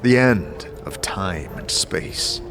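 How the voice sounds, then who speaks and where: Slow, expressive male storyteller over music. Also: deep voice. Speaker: narrator and game protagonist. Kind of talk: dramatic scripted narration and audio drama acting. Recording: prominent music bed under the voice.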